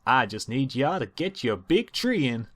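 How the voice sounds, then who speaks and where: A man's voice: mid-range voice, very animated, moderate pace. A man voicing a hard-working young female farm pony with a folksy Southern American drawl, bossy and familiar. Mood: coaxing.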